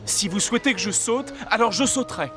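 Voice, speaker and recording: A man's voice: sits high, animated, brisk, over music. A man playing a lovestruck, gallant young king in a fairy-tale cartoon, with a courtly, earnest and melodramatic delivery. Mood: lovesick. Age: young adult. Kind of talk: cartoon voice acting.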